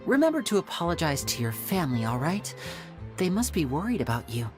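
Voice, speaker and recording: Game magician, young male, light voice, suave, teasing, theatrical, lively intonation. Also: fairly high pitch, a little fast, music in the background. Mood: playful. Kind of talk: video game character acting.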